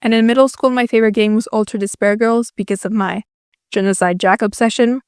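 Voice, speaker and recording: A woman's voice: a little high, steady tone, brisk, darkish tone, smooth, dry recording. Young Finnish-native woman YouTuber, casual Q&A voice-over, self-mocking, dry close mic. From Finland. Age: young adult. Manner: self-deprecating, dry jokes.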